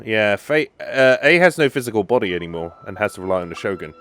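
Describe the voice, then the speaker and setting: A woman with a very deep voice gives casual game commentary. The pitch moves around a lot as this streamer muses aloud. Faint music sits behind the voice. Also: animated, fast talker. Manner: musing aloud, echoing game dialogue. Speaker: gaming streamer.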